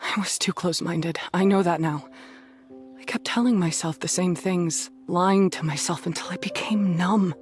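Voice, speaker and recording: An actress plays a young woman beast tamer in a game, on the low side in pitch. She is serious, humbled and formal, with faint music behind her. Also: natural voice. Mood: remorseful. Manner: earnest, formal, measured. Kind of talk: video game voice acting.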